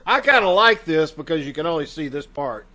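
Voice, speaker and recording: Higher-pitched man hosting a casual introduction. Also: expressive, fairly quick, sharp tone. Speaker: host of a community radio theater troupe's show. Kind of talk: casual spoken introduction and announcements. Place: video call.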